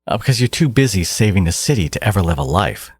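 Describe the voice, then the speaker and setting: A man playing a menacing, condescending genius supervillain in an audio roleplay, exasperated and obsessive yet caring, with a low, gravelly, husky voice. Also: sing-song, quick pace, darkish tone, no room sound. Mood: smug, obsessive. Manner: condescending, menacing, theatrical, slipping into whispers. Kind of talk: dramatic second-person audio roleplay monologue.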